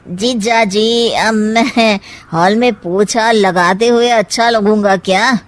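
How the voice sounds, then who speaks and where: Cartoon troublemaker uncle, played by a woman: very slow, high-set voice, scheming, wheedling, full of mock concern. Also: fairly even intonation. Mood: reluctant. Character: scheming, meddling uncle, sly male troublemaker. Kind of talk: Hindi animated comedy story acting.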